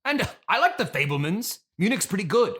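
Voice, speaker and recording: A man's voice: high-pitched, sing-song, brisk, bright, forceful tone, full character voice. A man playing a pompous, aggrieved classically trained stage actor in a comic mock interview, theatrical and self-important. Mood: petulant. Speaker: interview guest. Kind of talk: improvised mock interview comedy.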